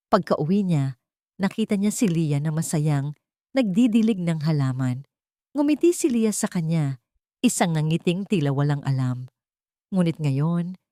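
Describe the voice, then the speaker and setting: Female storyteller for scripted drama narration; lowish, soft-edged tone, very dry close sound. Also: no room sound.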